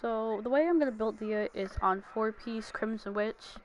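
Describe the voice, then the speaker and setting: A woman's voice: a little high, normal speed. Young-sounding chatty woman gamer, noisy recording. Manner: chatty, informal, thinking aloud. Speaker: gaming streamer. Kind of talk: casual gameplay commentary. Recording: clearly noisy home recording.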